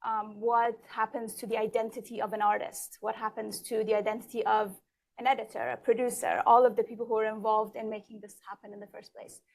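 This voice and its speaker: Woman panelist, fairly high but flat delivery, measured and steady, event stage. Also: creaky. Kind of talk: panel introduction. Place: event stage in a large hall.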